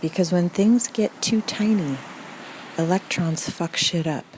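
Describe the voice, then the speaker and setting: A woman narrating a scripted parody guided meditation in a calm, soothing, deliberate deadpan. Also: fairly low pitch, slight background noise.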